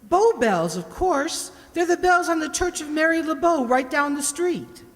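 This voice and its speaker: A woman's voice: sits high, expressive, unhurried. A woman playing a bossy, sarcastic cook in old London in an amateur radio play. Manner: bossy, mocking, scornful. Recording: echoey hall, long reverb.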